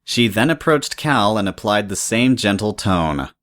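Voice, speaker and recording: A woman's voice: very deep voice, lively intonation, measured pace. A woman reading scripted third-person story narration as the narrator.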